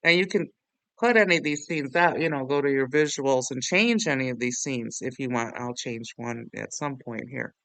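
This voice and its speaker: A man hosting a software tutorial in a casual, rambling, self-deprecating way. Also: mid-range voice, normal speed, gravelly, no echo, low-quality recording. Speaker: tutorial host.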